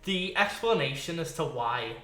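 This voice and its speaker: A man chatting casually as a podcast co-host, rambling in an animated, sweary way and leaning on "like". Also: fairly high pitch, moderate pace, soft background music. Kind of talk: casual chat about films and games. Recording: roomy, echoey sound.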